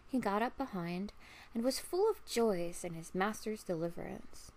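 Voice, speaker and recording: A woman's voice: mid-range voice, moderate pace, a little mellow. A woman storyteller reading a fairy tale aloud as narration.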